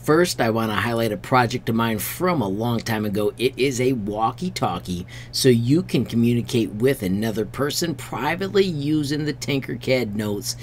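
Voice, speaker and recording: A man's voice: medium pitch, expressive, normal speed, hardly any pauses, a little hiss or hum. A middle-aged American man narrating an upbeat screen-share tutorial in an enthusiastic, friendly way as an online host. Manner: enthusiastic, friendly sign-posting to viewers.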